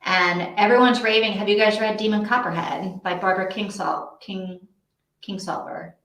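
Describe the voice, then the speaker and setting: A woman's voice: medium pitch, fairly even intonation, normal speed, a bit bright. A woman hosting a casual livestream, chatting in a rambling way as she thinks aloud. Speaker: host of a live reading sprint stream. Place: indoor room with echo. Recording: echoey untreated room.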